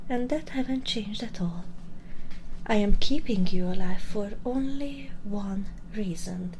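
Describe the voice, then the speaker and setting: Woman acting a centuries-old vampire villainess, very slow taunts, breathy half-whispers, sadistic, condescending, close-up ASMR roleplay, noisy ambience behind. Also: mid-range voice, mellow, natural voice. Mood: disdainful. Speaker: audio roleplay performer. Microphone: close binaural microphone.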